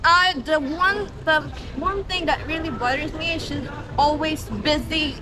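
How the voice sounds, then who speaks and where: A woman's voice: sits high, fairly even intonation, a little slow. A young woman with a Filipino accent, an education student, chatting and joking through a street interview. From Philippines. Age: young adult. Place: outdoors on plaza steps. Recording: noisy outdoor street.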